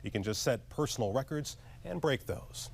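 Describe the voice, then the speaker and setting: A man's voice: medium pitch, expressive, moderate pace, gravelly, slight background noise. A man with an American accent narrating scripted television news as an anchor.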